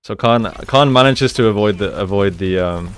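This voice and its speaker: Low-voiced man breaking down gameplay. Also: moderate pace, smooth. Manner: casual, gamer slang, explanatory. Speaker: esports coach and gameplay analyst. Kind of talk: live gameplay commentary and breakdown.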